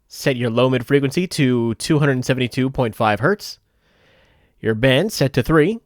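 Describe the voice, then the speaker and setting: A man's voice: mid-range voice, no echo. Dry, methodical male tutorial narrator. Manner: methodical, explanatory. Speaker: software tutorial host. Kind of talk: step-by-step instructional voice-over.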